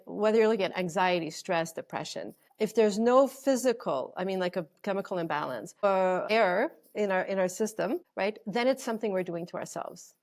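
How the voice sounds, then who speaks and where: A woman in her forties, a life coach telling her story as a guest in an earnest, flowing, thoughtful way. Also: medium pitch. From Canada. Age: 40s. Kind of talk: reflective storytelling interview.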